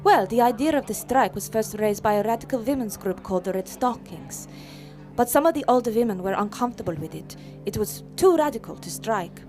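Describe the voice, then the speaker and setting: An actress playing a warm, proud feminist mother from Iceland in a radio play, brisk and welcoming as she explains things. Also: fairly high pitch, animated, soft background music, close to an everyday voice. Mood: proud, explaining.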